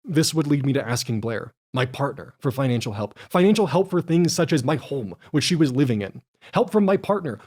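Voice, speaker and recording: A man in his twenties reading a scripted personal statement in a measured, earnest way. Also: fairly high pitch, darkish tone. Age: 20s. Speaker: video creator reading a statement.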